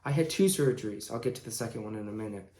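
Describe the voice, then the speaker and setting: A man's voice: on the low side, lively intonation, darkish tone. Young man storytelling in an echoey room. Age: young adult, late teens. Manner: halting, informal, pausing mid-sentence. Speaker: vlogger telling own story. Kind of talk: casual personal storytelling to camera. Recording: roomy, echoing indoor sound.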